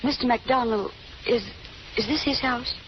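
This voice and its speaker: A troubled, guarded young woman on the run played by an actress, high-voiced and hesitant, with earnest broken phrases. It is 1930s radio melodrama, muffled and clearly noisy. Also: normal speed, natural voice. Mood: timid.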